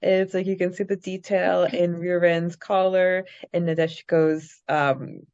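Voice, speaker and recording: A woman co-hosting a fan podcast, chatting casually in a hesitant, halting way. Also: lowish voice, little pitch movement, darkish tone. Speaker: podcast co-host. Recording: slightly dull audio, faint music behind.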